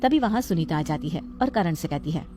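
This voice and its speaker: A woman's voice: on the low side, animated, fairly quick, dark tone. A woman telling a scripted dramatic story as the storyteller. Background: background music.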